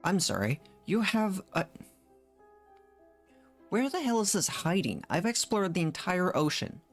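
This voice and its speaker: A man's voice: high-pitched, big pitch swings, a little slow, fairly bright tone. A man streaming a story game, reacting casually and thinking aloud in a puzzled way. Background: game music.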